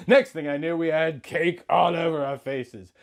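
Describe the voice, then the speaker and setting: A man in his thirties reading a story aloud in a melodramatic, mock-sentimental comic style, swinging between hushed and loud. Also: a little high, fairly even intonation, normal speed. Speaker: comic host reading out fan fiction.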